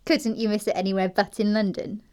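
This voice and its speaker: A woman's voice: mid-range voice, little pitch movement, fairly quick, a little mellow, natural voice. A woman playing a prim, naive yet pert young upper-class English girl in an audio stage comedy, speaking with artless precision.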